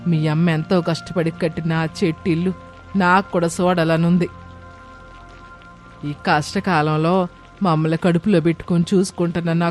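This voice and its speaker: A man's voice: a little high, natural voice. A man voicing an affectionate, humbled older mother-in-law, speaking with heartfelt emotion in a moral story. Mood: affectionate, grateful. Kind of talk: dramatised Telugu moral story.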